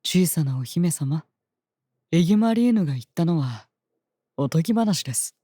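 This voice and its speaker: A woman playing a composed, devoted royal attendant in an audio drama, speaking politely and formally with gentle admonishment. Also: deep voice, animated, breathy edge, no room sound, studio quality. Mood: gently chiding. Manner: polite, measured, formal speech. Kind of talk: scripted audio drama acting.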